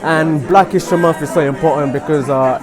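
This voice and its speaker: A man's voice: on the high side, a little fast. A man giving an informal answer in an interview at an event. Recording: music and crowd in large room. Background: music and chatter at busy event.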